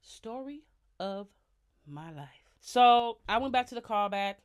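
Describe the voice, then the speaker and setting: Young American woman vlogger, animated, chatty, talks straight to viewers, a touch high-pitched. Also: slow, clear, resonant tone. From United States. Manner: lively, chatty, lots of slang and asides to viewers. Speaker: vlogger, singer. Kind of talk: casual storytelling to camera.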